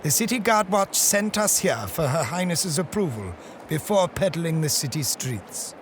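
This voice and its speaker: Man playing a cryptic, singsong-pitched desert guide. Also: sing-song, a little hiss or hum. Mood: formal. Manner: poetic, ominous, measured phrasing. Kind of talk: audio drama acting. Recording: loud outdoor ambience behind voice.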